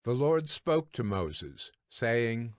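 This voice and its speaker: A man narrates scripture in a formal, deliberate style. His voice sits at a middle pitch and moves slowly, recorded dry with little room sound. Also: very animated, no room sound.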